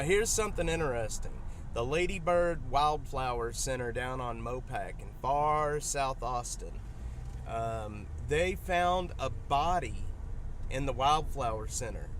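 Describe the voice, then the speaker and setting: A man from Texas with a Texas accent and a sore throat, rambling through casual live-stream commentary from a vehicle on city streets. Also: mid-range voice. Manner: rambling, with frequent restarts. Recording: noisy street and traffic.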